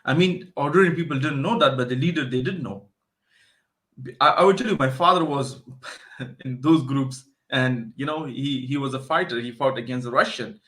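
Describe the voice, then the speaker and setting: A man's voice: mid-range voice, moderate pace, a bit bright. A man from Afghanistan with an Afghan accent, speaking as an expert guest in a conversational interview, explaining and telling stories with emphatic repetition.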